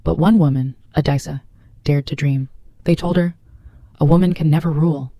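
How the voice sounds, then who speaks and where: Mellow, dramatic woman narrator, dry sound. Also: sits low, steady tone, moderate pace, dry recording. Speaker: narrator introducing a story. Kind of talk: scripted trailer narration.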